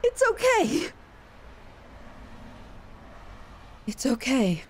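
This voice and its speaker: A woman playing an obsessive, self-loathing young yandere in an intimate ASMR roleplay, with an emotional, halting, pleading delivery. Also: sits high, huge pitch swings, breathy edge, exaggerated voice. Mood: tearful pleading.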